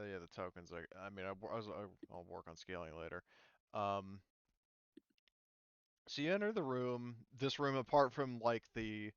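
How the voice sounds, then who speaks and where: A man narrating a tabletop game as game master, speaking casually and haltingly with the odd swear word. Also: lowish voice, a bit bright, clean tone, dry recording, dull audio. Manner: halting, casual, mildly profane.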